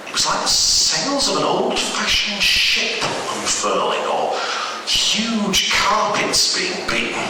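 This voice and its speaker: A man reading a horror story aloud as a storyteller, in a theatrical, emphatic, drawn-out delivery, recorded in a very echoey hall from a distance. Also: mid-range voice, sharp tone. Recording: very echoey hall, distant mic, faint noise.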